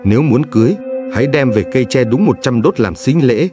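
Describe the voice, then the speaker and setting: A man's voice: medium pitch, animated, brisk, natural voice. A man voicing a greedy, deceitful village landowner, haughty and scolding, in a cartoon fairy tale. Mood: scornful. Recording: music behind the voice.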